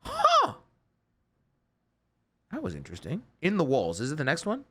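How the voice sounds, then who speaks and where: An American man giving casual, slangy, profane reaction commentary in an animated, exclamatory, blunt manner. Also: a little high, normal speed. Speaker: reaction video host.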